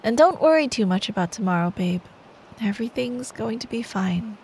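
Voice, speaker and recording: A woman's voice: fairly high pitch, lively intonation, normal speed, a little mellow, slight background noise, low-quality recording. A woman doing ASMR bedtime storytelling as a girlfriend, affectionate, soothing and playful. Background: rain ambience.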